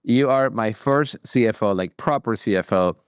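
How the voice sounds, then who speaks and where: A man's voice: fairly low pitch, normal speed, clear, resonant tone, no echo, clean studio sound. A man hosting a podcast, talking in an enthusiastic, emphatic way as he introduces and interviews. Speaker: podcast host.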